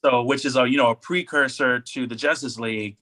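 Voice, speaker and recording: A man's voice: medium pitch, fairly even intonation, fairly quick, bright, forceful tone. A man co-hosting a podcast in a casual, conversational chat style. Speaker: podcast co-host.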